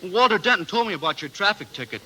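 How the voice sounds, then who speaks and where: An actor playing a good-natured, slow-witted teenage school athlete in a 1950s radio sitcom, eager and mangling big words. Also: male voice, sits high, lively intonation. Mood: well-meaning. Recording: noisy, crackly old radio recording.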